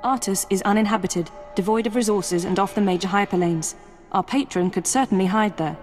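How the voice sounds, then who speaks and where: A woman plays a dedicated intelligence officer in a video game, her measured, dry delivery kept composed. She sits at a middle pitch for a woman, with a long reverb and faint music behind. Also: darkish tone, lightly acted.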